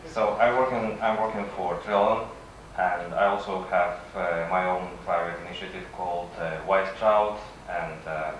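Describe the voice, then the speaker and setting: A man with a Russian accent, a native Russian speaker, giving a halting conference presentation with frequent pauses. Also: low voice, steady tone. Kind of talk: conference presentation, semi-improvised. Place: conference session room. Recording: echoey room from far off, faint noise.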